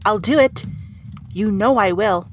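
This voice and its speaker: A woman's voice: mid-range voice, measured pace, slight background noise, natural voice. A woman playing a small, defiant rat princess warrior in an audio roleplay, brash and threatening but easily flustered. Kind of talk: dramatic audio roleplay acting. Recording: music behind the voice.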